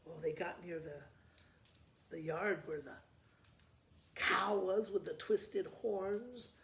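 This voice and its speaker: A man reading a storybook aloud as an expressive storyteller, with frequent pauses. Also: sits high. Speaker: school principal reading to pupils. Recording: echoey room with faint noise.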